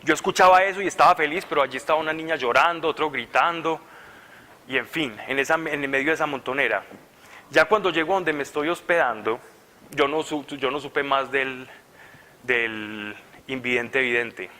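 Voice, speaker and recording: A man preacher giving an animated, conversational sermon full of stories at a retreat. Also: medium pitch, normal speed, long reverb tail. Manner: animated, conversational, laughing at his own stories. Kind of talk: sermon with storytelling. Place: large hall at a retreat.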